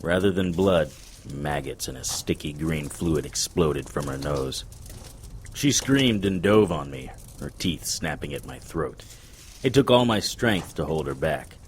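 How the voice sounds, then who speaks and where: An actor playing a wry, unflappable occult detective, narrating an audio drama in a dry noir style. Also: male voice, a bass voice, big pitch swings, a little slow, slight background noise, exaggerated voice. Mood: grim.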